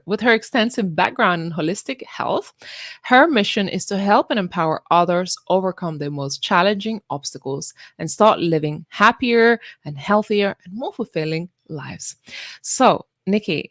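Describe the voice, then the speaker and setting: A woman's voice: fairly low pitch, no room sound. An upbeat woman hosts a live online summit, rallying her viewers to share and connect. Her voice is dry and close, with no room sound around it. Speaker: summit host. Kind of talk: livestream event hosting.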